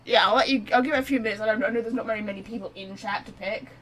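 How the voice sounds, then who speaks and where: A woman chatting casually and excitably as she livestreams a game, rambling to her viewers. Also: a little high, racing, slight background noise. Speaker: live streamer. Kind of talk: casual game stream chat.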